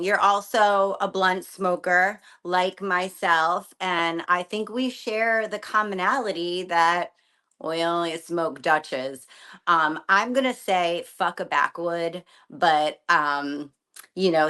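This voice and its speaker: A woman hosts a casual interview, her New plain and her talk candid and full of slang. Her voice sits on the low side for a woman. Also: bright, forceful tone. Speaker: podcast host.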